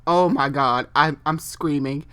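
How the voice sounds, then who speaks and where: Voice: medium pitch, a little slow, sharp tone. A person doing a comedic dramatic reading of amateur online fiction, theatrical and unfiltered, breaking off into casual asides and re-reading stumbled phrases. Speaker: reader and host.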